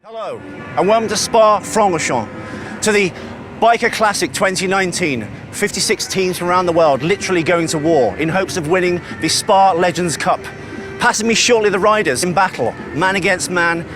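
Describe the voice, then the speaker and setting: A man's voice: high voice, moderate pace, music in the background. A man working as a presenter and interviewer, speaking in a dramatic, emphatic way. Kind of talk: event presenting, narration and casual interview questions.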